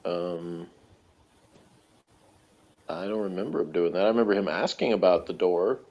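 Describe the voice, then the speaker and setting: A man with a low-pitched voice talks through a review in casual chat. He rambles and hesitates, often letting thoughts trail away. The recording is dull and lo-fi. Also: normal speed, warm tone. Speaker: podcast reviewer.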